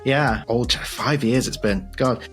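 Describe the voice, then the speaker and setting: A man's voice: mid-range voice, a little slow, faint music behind. Man hosting a conversational podcast interview. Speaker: podcast host.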